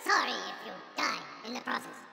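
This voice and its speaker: A man voicing a silly, gleeful demon-hunting god for a video game, with a squawky, creaky voice and exaggerated drawn-out delivery. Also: very high voice, big pitch swings, roomy sound, in a large hall, far from the mic, loud music behind the voice, full character voice. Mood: mock menacing. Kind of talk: video game voice pack callouts.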